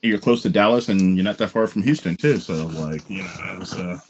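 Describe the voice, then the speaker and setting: A man's voice: deep voice, fairly quick, lo-fi sound, a little hiss or hum. An American man hosting a casual interview, speaking with enthusiasm and repeating phrases for emphasis. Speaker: podcast host interviewer.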